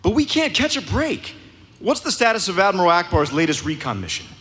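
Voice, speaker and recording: An actor playing an eager, confident young rebel hero in a live audio drama, with an earnest, excitable manner. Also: male voice, sits high, brisk, soft background music. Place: convention stage before a live audience. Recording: reverberant live hall sound.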